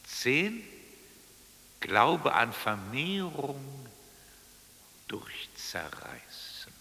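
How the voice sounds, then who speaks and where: Gravelly god-like voice in cavernous reverb. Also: male voice, big pitch swings, exaggerated voice. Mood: eerie, clipped. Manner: slow, exclamatory, incantatory, swinging from booming to hushed. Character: commanding, uncanny divine voice from on high, stern lawgiver. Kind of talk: theatrical radio drama.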